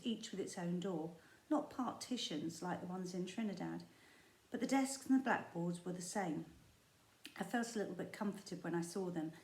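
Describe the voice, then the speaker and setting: A woman primary school teacher reading a children's book aloud, expressive and storytelling, with the odd slip. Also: fairly low pitch. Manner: expressive storytelling with small slips and asides. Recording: echoey room.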